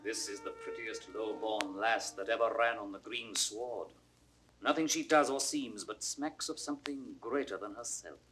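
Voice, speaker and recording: A man's voice: on the low side, normal speed, a bit bright, natural voice, low-quality recording. A man playing a dignified, observant older nobleman in classical Shakespearean verse, stately and formal. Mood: admiring.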